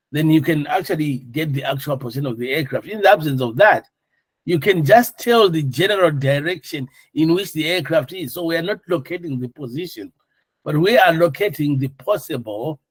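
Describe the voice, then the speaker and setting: A male instructor with a slightly high voice, recorded fairly dry. He lectures in an informal, explanatory way and keeps putting questions to his students to check they follow. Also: slightly rough, dry recording, rough recording.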